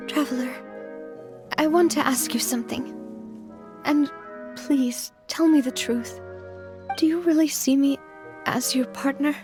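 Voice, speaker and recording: An actress playing a kind, resolute young woman who leads an organization, earnest and measured, in a dramatic video game. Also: high-pitched, music in the background. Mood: vulnerable, tired.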